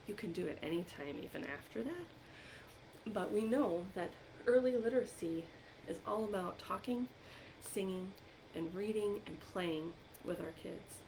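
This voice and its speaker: A woman leading an interactive storytime for young children as a librarian, encouraging them with questions and pauses for answers, recorded on a computer microphone. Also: medium pitch, creaky, darkish tone, slight background noise, rough recording. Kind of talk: interactive read-aloud and singing for young children.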